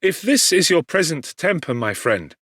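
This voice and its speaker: A concerned young gentleman played by a male reader, pitched well up from a normal speaking voice and very animated. He is kindly and formal, pleading earnestly in a classic storybook manner, recorded dry and close. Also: moderate pace, no echo. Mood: gentle, solicitous.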